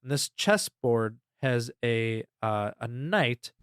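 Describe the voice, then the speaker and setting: A man's voice: mid-range voice, expressive, slow pace, clean tone, no room sound. Informal male podcast host, dry close sound. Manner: rambling, informal, swears freely. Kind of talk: casual recap storytelling.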